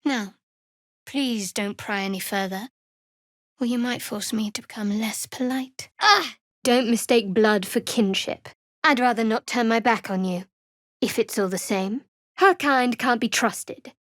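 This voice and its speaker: A woman's voice: on the high side, a little slow, fairly bright tone, dry recording. An actress playing a guarded, sardonic young female cleric in a fantasy video game, speaking in a dry, clipped manner. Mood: guarded, threatening.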